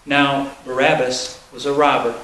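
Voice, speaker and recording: A man's voice: mid-range voice, level tone, measured pace, fairly bright tone. A man reading a Bible narrative aloud as a solemn scripted reading. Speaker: scripture reader. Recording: roomy echo, faint background noise.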